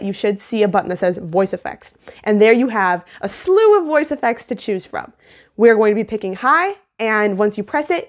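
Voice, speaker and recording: A young adult woman hosting a casual video tutorial in a playful, self-deprecating manner, recorded on a phone in a room at home. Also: medium pitch. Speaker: tutorial host. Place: small indoor home room. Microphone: phone on a mount, about arm's length or a little more.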